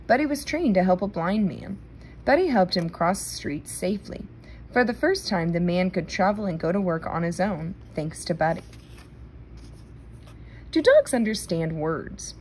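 A woman reading a children's nonfiction book aloud in a careful, deliberate manner. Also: fairly low pitch, darkish tone, slight background noise. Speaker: read-aloud reader.

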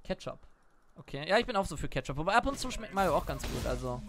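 A man's voice: a little high, big pitch swings, fast, a bit bright. A young man in his twenties with a headset, streaming a game at home, chatting casually and asking questions as he calls out plays. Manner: chatty, asks questions while calling plays. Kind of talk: casual gaming chat. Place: home room at a desk.

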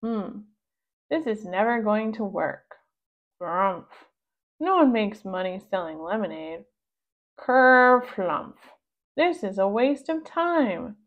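A woman's voice: medium pitch, very animated, slow, pauses often, fairly bright tone, exaggerated voice. A woman voicing whining, grumbling little pug swindlers from a children's storybook.

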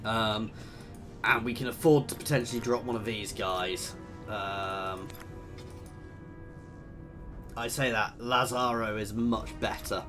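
A man in his thirties commentating a strategy game, husky and tired from a cold, chatty and thinking aloud with lots of ums. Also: on the low side, measured pace. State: hoarse with a cold. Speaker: gaming video host. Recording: voice over game music.

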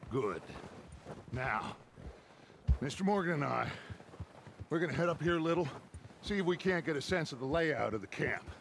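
An actor playing a commanding, theatrical American outlaw gang leader in a western video game, speaking with grand authority. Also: male voice, a little high, animated, normal speed, gravelly, long pauses, fairly bright tone. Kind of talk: video game dramatic acting. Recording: game wind and horse sounds behind.

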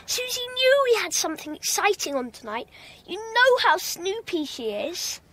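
A woman playing an anxious, excitable young schoolboy with an English accent in a children's audio drama. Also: very high voice, moderate pace, full character voice.

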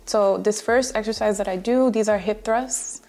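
A woman's voice: medium pitch, steady tone, moderate pace, a little mellow, faint music behind. A woman in her thirties giving a casual, conversational walkthrough of exercises as a fitness vlogger, recorded in a room at home. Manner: conversational, explanatory. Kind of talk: casual instructional voice-over explaining exercises.

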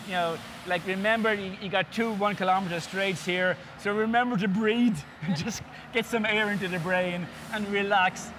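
A man's voice: sits high, fairly even intonation, moderate pace, few pauses, fairly bright tone. A man in his fifties, a racing series organizer, explaining enthusiastically in a sit-down interview, often listing things and repeating words. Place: indoor interview set before a sponsor backdrop. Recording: clear background noise.

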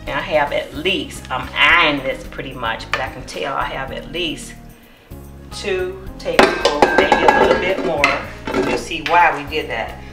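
A middle-aged woman, a cookbook author, demonstrating a recipe in her home kitchen, explaining each step in a warm, encouraging way. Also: low-pitched, unhurried. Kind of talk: cooking demonstration. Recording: echoey kitchen with music behind.

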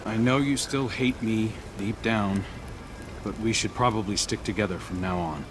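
An actor playing a solemn, reflective young man in a video game, earnest and measured. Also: fairly low pitch, background noise, natural voice. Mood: remorseful. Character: solemn, reflective young male guardian, quietly remorseful. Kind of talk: scripted game dialogue. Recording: loud music behind.

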